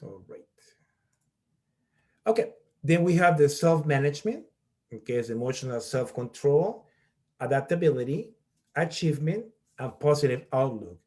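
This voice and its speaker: A man's voice: fairly high pitch, animated, moderate pace, long pauses, mellow. A middle-aged man from Peru who leads a workshop as a college professor, explaining step by step and often checking in with "okay?". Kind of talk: online workshop presentation.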